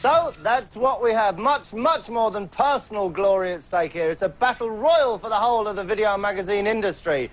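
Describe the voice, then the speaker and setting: Hammy guy hosting a game show, high voice, music going behind him. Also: expressive, moderate pace, few pauses. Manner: hammy, theatrical, shouting names. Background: studio music.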